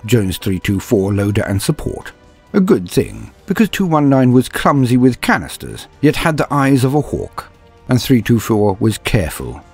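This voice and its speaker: A man with a low, husky voice delivers dramatic scripted narration over music. His theatrical, grandiose storytelling rises and falls widely in pitch. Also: darkish tone. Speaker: narrator and host of a fiction lore show.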